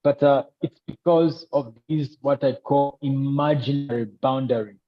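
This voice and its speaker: A man with a Zambian accent speaking conversationally as an interview guest, with hesitant, halting phrasing. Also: mid-range voice, steady tone, no room sound, lo-fi sound, faint music behind. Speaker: podcast guest.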